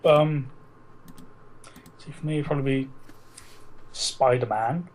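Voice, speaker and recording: A man's voice: mid-range voice, takes it slowly, darkish tone, rough recording. A British man co-hosting a podcast in casual, teasing, sweary banter with a friend. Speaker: podcast co-host.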